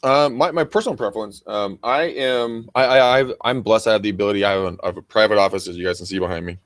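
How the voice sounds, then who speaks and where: A man with an American accent and a very loud voice chatting casually as a talk show co-host, enthusiastic, full of bro slang and swearing. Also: on the low side, fast talker, no echo, clean studio sound. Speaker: co-host of a real estate training show and agent. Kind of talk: casual unscripted show chat with shout-outs. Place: private office.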